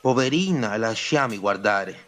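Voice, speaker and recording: An actor playing a flirtatious Italian immigrant suitor in a humorous radio soap, wooing in a mix of Italian and Spanish. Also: male voice, lowish voice, lively intonation, a little slow, fairly bright tone. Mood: tender, teasing.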